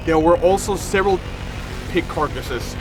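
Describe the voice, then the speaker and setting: A man with a high-pitched voice streams his reaction to horror videos. He reads aloud and comments in a dramatic way, repeating phrases over and over. Music plays clearly behind him. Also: moderate pace, poor audio. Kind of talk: live reaction commentary, reading on-screen text aloud.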